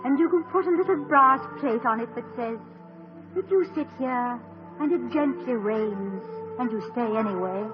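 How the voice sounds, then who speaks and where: An actress doing an affectionate young wife in a vintage radio play, a former actress from England. She speaks gently and with refinement, in a high voice. Faint music plays under the dull, muffled old broadcast sound. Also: lively intonation, moderate pace, natural voice. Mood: tender. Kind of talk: 1950s radio drama acting.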